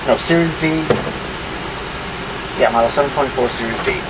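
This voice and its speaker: Offhand man with noisy background hum. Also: a little fast. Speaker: video host showing vintage electronics. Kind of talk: casual unscripted demonstration. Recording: clear background hum and rumble noise.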